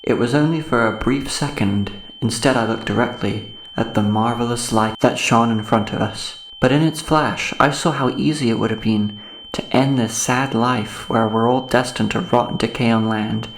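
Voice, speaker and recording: A man's voice: medium pitch, normal speed, slight background noise, close to an everyday voice, low-quality recording. An actor playing an anxious, impulsive man in a horror audio drama, speaking with dramatic intensity. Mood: grim, haunted narration. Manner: urgent, dramatic. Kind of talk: horror audio drama dialogue and first-person narration. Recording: music behind the voice.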